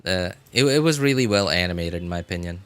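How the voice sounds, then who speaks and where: A man's voice: sits low, lively intonation, quick pace, a bit bright, smooth. A man who is a game streamer, giving casual live commentary and thinking aloud in a rambling way. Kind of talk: casual livestream gaming commentary. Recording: music behind the voice.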